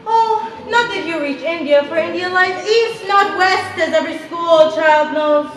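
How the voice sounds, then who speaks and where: A woman's voice: extremely high pitch, slight background noise. A woman playing a scornful, exasperated Queen of Spain in a theatrical stage monologue. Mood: mocking. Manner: theatrical, scornful, deliberate delivery. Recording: echoey theater stage recording.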